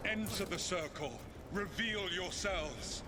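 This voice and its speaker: An actor playing a passionate, idealistic rebel lieutenant in a fantasy game, speaking with earnest command. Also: male voice, high-pitched, slow, noisy background. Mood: rallying. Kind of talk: dramatic video game voice acting. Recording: loud music behind the voice.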